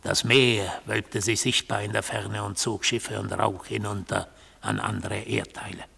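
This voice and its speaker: Gravelly, enigmatic accused man. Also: on the low side, steady tone, a little slow, pushed and bright, exaggerated voice. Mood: measured, evocative. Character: enigmatic accused man, coolly justifying his deeds. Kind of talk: literary radio play acting.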